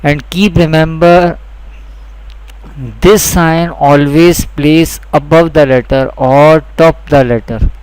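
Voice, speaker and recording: Slow, clear-voiced male teacher. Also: on the high side, steady tone, darkish tone, no echo, poor audio. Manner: deliberate, repeats each point twice. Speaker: Quran teacher. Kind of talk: slow, repetitive instructional lesson.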